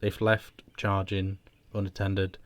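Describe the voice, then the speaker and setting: A man's voice: low voice, completely flat delivery, takes it slowly, crowd noise. A man hosting a show in casual, chatty style. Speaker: show host. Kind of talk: casual chat.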